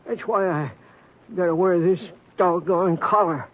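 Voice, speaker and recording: An actor playing a timid, henpecked older bank teller in a radio western, stammering nervously with a folksy American accent. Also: male voice, high-pitched, a little slow. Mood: flustered, confiding. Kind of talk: old-time radio western acting. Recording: tinny, narrow-band old radio broadcast.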